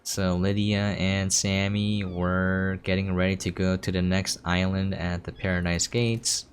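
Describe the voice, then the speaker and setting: A man's voice: low-pitched, little pitch movement, a little slow, slight background noise. A man giving casual gameplay commentary, thinking aloud as he goes. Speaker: game streamer. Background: game music.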